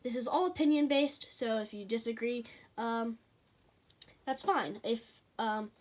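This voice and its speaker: A teenager with a childlike voice, reviewing toy figures in a casual, hesitant way with frequent pauses and fillers. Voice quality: childlike, high voice.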